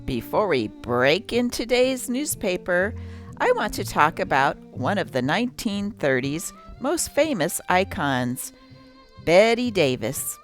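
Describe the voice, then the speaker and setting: A woman's voice: on the low side, sing-song, slow, soft background music. A woman narrating from a script as host, reading an old newspaper aloud.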